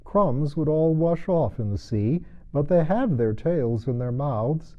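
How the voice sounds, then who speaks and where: Man doing a slow, ponderous storybook Mock Turtle. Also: on the high side, expressive, muffled recording, voice pushed up. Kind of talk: storybook character voice.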